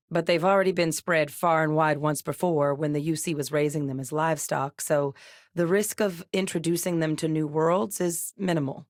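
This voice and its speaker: Low-voiced, seasoned woman soldier-scientist, played by an actress. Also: no room sound, studio quality, lightly acted. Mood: serious, explaining. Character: seasoned ex-military woman scientist, guarded, earnest, dryly wry. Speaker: video game companion character. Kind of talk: video game voice acting.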